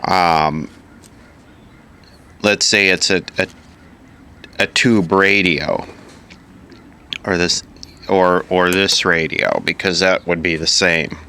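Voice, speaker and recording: A man's voice: sits low, fairly even intonation, takes it slowly, pauses often, pushed and bright, clean tone. A man giving an informal hands-on repair tutorial, matter-of-fact and thinking aloud piece by piece as an instructor.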